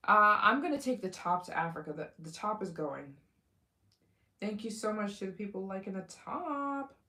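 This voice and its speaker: A woman's voice: mid-range voice, expressive, normal speed, sharp tone. A woman hosting a casual livestream, chatting with viewers, thanking donors and giving motivational talk about taking risks. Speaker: livestream host. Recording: echoey room.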